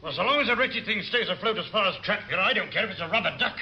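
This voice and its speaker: An actor playing a pompous, grumpy, ambassador, blustering and scornful in a classic radio sitcom. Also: male voice, sits high, lively intonation, racing, highs cut off. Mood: grumpy, impatient. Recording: very noisy old radio recording.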